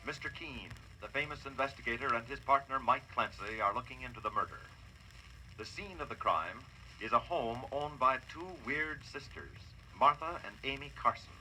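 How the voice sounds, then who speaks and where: Very bright, forceful male radio announcer. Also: medium pitch, slight background noise, rough recording. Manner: solemn, theatrical. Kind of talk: dramatic scripted 1940s radio narration. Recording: old radio broadcast with music.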